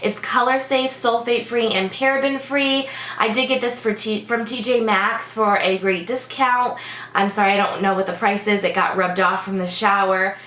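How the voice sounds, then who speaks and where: A woman's voice: medium pitch, steady tone, normal speed, fairly bright tone, echoey room, a little hiss or hum. A woman beauty vlogger with a congested, sick-sounding voice, chatting casually through a product review with lots of "like" and "um". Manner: chatty, many "like" and "um" fillers.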